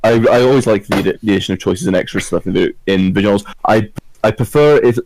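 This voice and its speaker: A man's voice: on the low side, fast talker, darkish tone, dry recording, rough recording. A man discussing things casually as a panellist on a group podcast.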